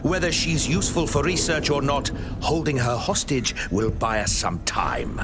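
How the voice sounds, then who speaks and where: A man voicing a confident, calculating villain master in a video game, gravelly, smug and commanding. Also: mid-range voice, lively intonation, normal speed, lightly acted. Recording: music under the voice.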